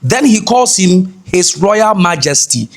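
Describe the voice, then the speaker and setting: Emphatic higher-voiced Ghanaian man. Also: big pitch swings, slow. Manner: emphatic, repeats key phrases. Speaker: host commentator. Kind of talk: explanatory commentary on a news clip.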